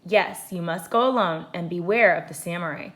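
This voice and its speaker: A woman's voice: fairly low pitch, fairly bright tone, lightly acted. Woman reading as a solemn, commanding ninja master. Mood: urgent, stern. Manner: terse, measured, grave pronouncements.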